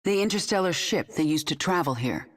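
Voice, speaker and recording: A woman's voice: deep voice, animated, brisk. An actress playing a ruthless alien swarm queen who speaks in a cold, controlled, commanding way.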